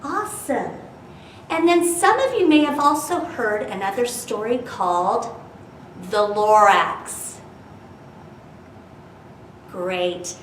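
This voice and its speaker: Woman, storytime host, slow-paced, addressing children, expressive read-aloud, echoey room, slightly distant mic, faint noise. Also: on the high side. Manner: addresses children directly with questions and prompts.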